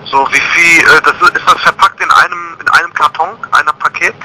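A man answers as a courier service agent. His voice is fairly high and extremely bright, heard over a phone line with faint noise. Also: steady tone, a little fast. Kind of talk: customer service phone call. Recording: noisy telephone line, harsh sound.

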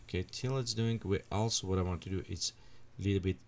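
A man with leading a software tutorial at his computer, thinking aloud as he adjusts settings. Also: low-pitched, a little hiss or hum. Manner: unhurried, thinks aloud while clicking through settings. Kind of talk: screen-recorded software tutorial.

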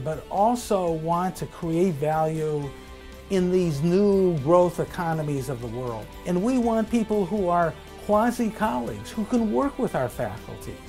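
An older man speaking as a business school dean in a promotional interview, measured and deliberate, in an indoor office. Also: sits high, dark tone.

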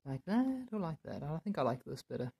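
A man with a gravelly, creaky voice talks through a hands-on job in a casual way. His tone is dark and mellow with a husky edge, and he mutters half to himself as he works. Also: a little high, sing-song, brisk. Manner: muttering, thinking aloud while working. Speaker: DIY video maker. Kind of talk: casual hands-on tutorial commentary. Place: indoors in a home room.

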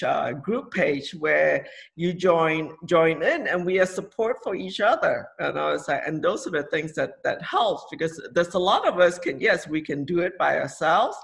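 A woman's voice: low-pitched, gravelly. A woman from Malaysia, a health coach chatting casually and acting out the things people said to her. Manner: lively, acts out quoted speech. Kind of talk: casual video-call chat.

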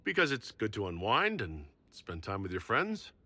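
Courteous fantasy game commander, low voice, crisp bright tone, lively intonation. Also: male voice, measured pace, full character voice. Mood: polite, measured. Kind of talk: video game dialogue.

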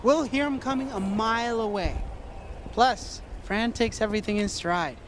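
High-pitched flippant young hustler played by a man. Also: moderate pace, noisy background, lightly acted. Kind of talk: video game drama acting.

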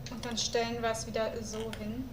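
A woman's voice: on the high side, level tone, brisk, reverberant, background noise. Young woman musing through a game. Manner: musing aloud, thinking through puzzles. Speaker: let's player. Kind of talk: casual game commentary and reading aloud.

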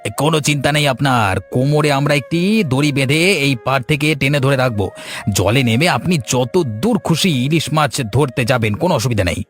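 A man's voice: medium pitch, brisk, close to an everyday voice. A man playing a clever, confident village schemer in a cartoon story, speaking in an explanatory way. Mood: falsely encouraging. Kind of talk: Bengali cartoon story voice acting. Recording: music behind the voice.

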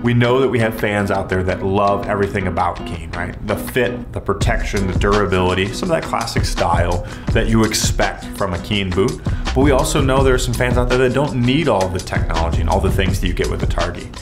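A man's voice: on the low side, fairly quick, music in the background. A man in his thirties presenting a new hiking boot in a workshop, enthusiastic and conversational. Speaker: footwear company representative. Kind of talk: product presentation. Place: indoor workshop.

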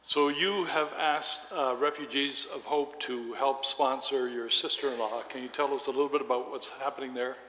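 Bright-voiced older pastor in an echoey hall. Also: male voice, medium pitch, steady tone, moderate pace, few pauses. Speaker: pastor interviewing a guest. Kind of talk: informal church interview. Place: church sanctuary stage. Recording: echoey church hall with long reverb.

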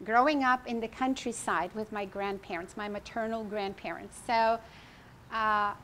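A woman's voice: mid-range voice, fairly even intonation, normal speed, a bit bright, a little hiss or hum. A woman in her fifties, originally from Croatia, giving an informal wellness lecture with many fillers and restarts, gesturing as she speaks. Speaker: foundation president giving a lecture. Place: indoor pavilion room in a park.

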